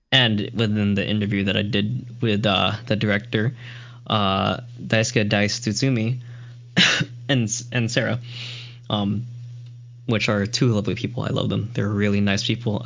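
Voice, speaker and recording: A man's voice: lowish voice, gravelly, fairly bright tone, muffled recording, a little hiss or hum. A man hosting a casual podcast, rambling through his thoughts with restarts and filler likes. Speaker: show host reviewing a series.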